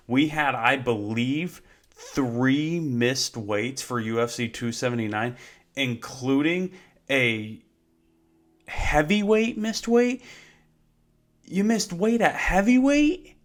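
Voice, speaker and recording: A young man hosting a solo sports talk show, a little hoarse, with many fillers, and his pitch climbs when he rants in exasperation. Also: a bit bright. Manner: many fillers, unhurried delivery. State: slightly hoarse from yelling. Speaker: host.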